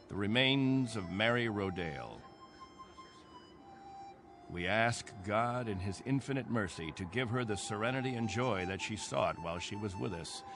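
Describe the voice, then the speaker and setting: An actor playing a stern, devout captain of a struggling space colony in a radio drama, speaking with solemn, earnest authority. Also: male voice, fairly low pitch.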